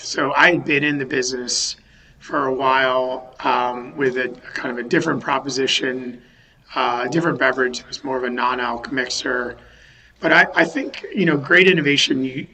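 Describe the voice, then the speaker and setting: Man from western massachusetts, bright crisp voice, halting, video call interview. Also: medium pitch, rough recording. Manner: thoughtful, restarts sentences, many um and you know. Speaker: company founder, guest. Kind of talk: remote podcast interview. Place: home or office in a city.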